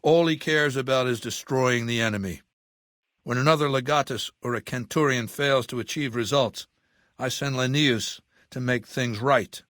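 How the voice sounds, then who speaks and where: Ruthless dictator for a game, male actor. Erudite, measured, coldly imperious; mid-range voice, close dry sound. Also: mid-range voice, fairly bright tone, dry recording, natural voice. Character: ruthless, cultured warlord dictator in middle age. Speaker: video game villain. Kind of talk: dramatic game dialogue monologue.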